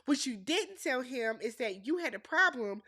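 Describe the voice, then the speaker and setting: A woman's voice: sits high, animated, normal speed, bright, forceful tone, breathy edge, dry recording, clean studio sound. A woman with a Wisconsin accent recapping a reality show, nasal and congested from a cold, opinionated and chatty with her viewers. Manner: opinionated, addresses viewers as y'all. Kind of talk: casual recap chat.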